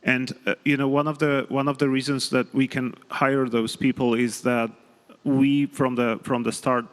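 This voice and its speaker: A man's voice: medium pitch, little pitch movement, moderate pace, clear, resonant tone. A man speaking as a panelist, explaining step by step with frequent hesitations. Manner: hesitant, many fillers, explanatory. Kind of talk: panel discussion. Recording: hall reverb, event stage.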